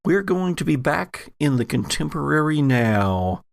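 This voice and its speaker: Gravelly, theatrical man hosting a game podcast. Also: a little high, very animated, measured pace, no room sound. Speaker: podcast host and game master. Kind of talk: hosting and narrating an actual play audio drama.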